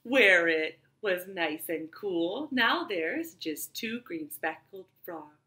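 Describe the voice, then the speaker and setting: Animated woman storyteller, bright and lively. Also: on the low side, takes it slowly. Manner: animated, sing-song delivery for young children. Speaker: children's library storyteller. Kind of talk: children's storytime, rhymes and read-aloud story. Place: living room, indoors. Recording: roomy home webcam sound.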